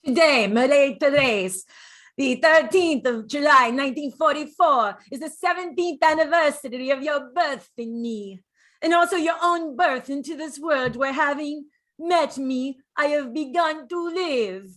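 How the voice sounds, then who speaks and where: An actress playing a gentle, girlish, chatty dead woman of thirty-four in a confiding, nostalgic stage monologue. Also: high voice, unhurried. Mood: loving imitation. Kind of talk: dramatic monologue, stage-style acting.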